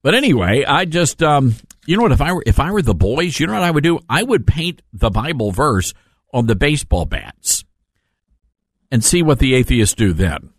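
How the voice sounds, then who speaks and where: A man's voice: mid-range voice, big pitch swings, normal speed, breathy edge, dry recording, clean studio sound. A man hosting a conversational call-in radio show, with a sardonic, joking and emphatic way of talking. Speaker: radio talk show host.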